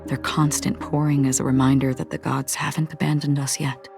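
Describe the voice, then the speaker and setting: Brief: poised, polite fugitive, low-pitched, score audible underneath. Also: female voice, flat delivery, gravelly. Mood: calm. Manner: polite, composed, measured. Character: calm, courteous woman on the run. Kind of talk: video game cutscene dialogue. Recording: game music behind the voice.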